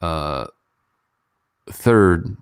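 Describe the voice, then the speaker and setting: A man's voice: sits low, extremely slow, clear, resonant tone, no echo, studio quality. A man chatting informally and in a rambling way as the host of a casual online livestream. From Western country. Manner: informal, animated when mimicking others, rambling. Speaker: YouTube host. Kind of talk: casual livestream chat and commentary.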